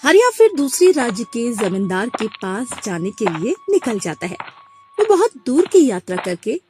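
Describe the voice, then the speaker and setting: A woman narrating a scripted moral tale as an expressive storyteller. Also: on the high side, normal speed, soft background music.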